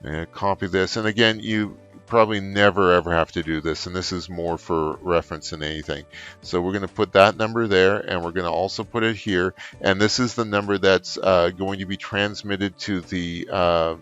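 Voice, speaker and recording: A middle-aged man explains software step by step as an unscripted tutorial, with frequent hesitant pauses. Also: low-pitched, steady tone, clean tone, dull audio, faint music behind. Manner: halting, frequent filled pauses. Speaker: trainer, tutorial presenter. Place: office room indoors.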